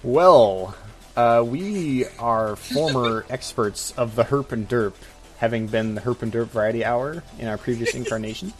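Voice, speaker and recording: A man's voice: mid-range voice, measured pace, rough recording. Wry man co-hosting with music behind. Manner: wry, hedging, joking asides. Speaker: podcast co-host. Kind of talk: casual comedic advice chat.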